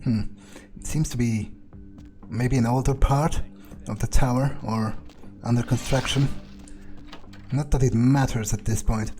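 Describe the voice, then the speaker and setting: A man's voice: medium pitch, steady tone, a little slow. A man playing a video game fully in character, muttering self-assured, sardonic commentary into a desk microphone. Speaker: let's play roleplayer. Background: loud game music and gunfire.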